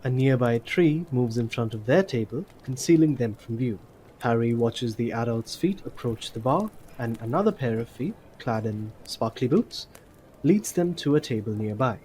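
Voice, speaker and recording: A man reads scripted story narration for an audio play. His voice is of middling pitch. A faint noise can be heard under the recording. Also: animated, normal speed, dark tone, rough recording.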